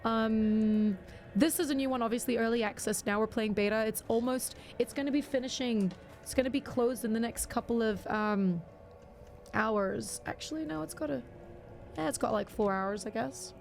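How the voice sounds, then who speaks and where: A woman's voice: fairly high pitch, normal speed, vocal fry, a little mellow, faint music behind. Chatty young woman streamer, slightly worn voice. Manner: chatty, rambling, lots of fillers and restarts. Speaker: variety streamer. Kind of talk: casual livestream chat while gaming.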